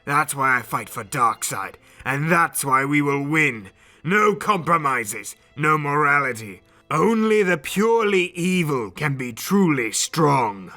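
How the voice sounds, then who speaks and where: A proud alien warrior prince in a dramatic audio drama, defiant and bitter. The voice is pitched fairly high, tense and sharp, with arrogant, declamatory delivery that swings widely. Faint music sits underneath. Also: male voice, lively intonation, takes it slowly, heavily acted. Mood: boastful.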